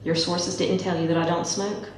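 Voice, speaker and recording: A woman reading the part of a guarded young woman who asks direct questions, in her own low voice, recorded from far off in an echoey room. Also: little pitch movement, moderate pace, natural voice. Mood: wary, probing. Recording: echoey room, mic far off, faint noise.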